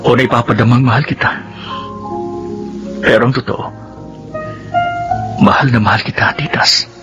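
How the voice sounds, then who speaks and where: An actor playing a remorseful unfaithful husband who speaks hesitantly, in a radio drama. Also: male voice, mid-range voice, steady tone, moderate pace, dull audio, over music, lightly acted. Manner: hesitant, stammering, confessing.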